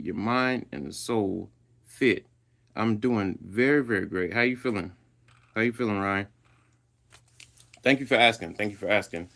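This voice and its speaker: A man's voice: medium pitch, fairly bright tone. A man hosting a casual livestream, reading chat and answering viewers in a loose, slangy way; he grew up speaking Swahili. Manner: laid-back, reads and answers live chat, loose slangy talk. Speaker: livestream host.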